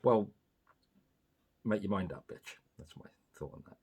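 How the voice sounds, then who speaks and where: A man's voice: sits low, animated, a little slow, gravelly, a little mellow, slightly rough. A man co-hosting a casual film review podcast, giving blunt opinions and swearing freely. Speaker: podcast co-host.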